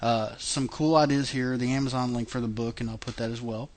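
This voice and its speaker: A man's voice: mid-range voice, steady tone, a little fast. A man hosting a podcast in casual chat, chatty with many fillers and restarts, laughing often. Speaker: podcast host.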